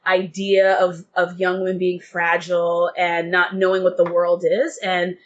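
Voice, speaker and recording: A woman's voice: lowish voice, completely flat delivery, unhurried, lo-fi sound, slight background noise. A woman speaking as an interview guest, earnest and enthusiastic about her subject. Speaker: theatre guest and reader.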